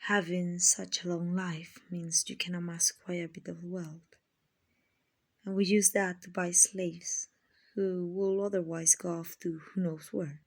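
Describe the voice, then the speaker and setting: A woman plays a gentle vampire noblewoman in an ASMR roleplay. Her voice is fairly low and husky, often sinking to a breathy whisper. She speaks very slowly, soothing and genteel, like a kind owner comforting someone. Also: level tone. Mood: patient, explaining. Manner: slow, soothing, genteel, endearing. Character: gentle, kindly female vampire, soothing rich slave-buyer.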